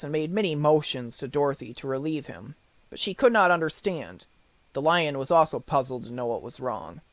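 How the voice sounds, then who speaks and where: A man's voice: fairly high pitch, no echo. A man reading a storybook aloud as an audiobook narrator, in scripted narration.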